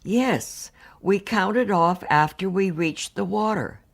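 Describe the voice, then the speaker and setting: An actress playing a married woman survivor, giving composed, earnest testimony in a measured, formal, precise way. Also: medium pitch, creaky. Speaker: actor reading witness testimony. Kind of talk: dramatised reading of hearing testimony.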